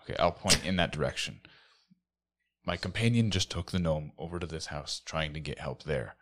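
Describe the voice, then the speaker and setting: Very deep-voiced man playing a tabletop game. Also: normal speed, fairly bright tone. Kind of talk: casual improvised role-play.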